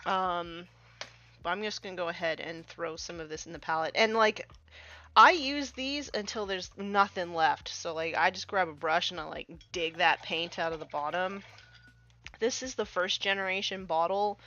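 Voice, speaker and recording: A woman's voice: on the low side, moderate pace, pushed and bright, highs cut off. A young woman hosting a live stream, chatting casually and loosely with lots of fillers while she works, a little sniffly from a cold coming on. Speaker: stream host painting miniatures.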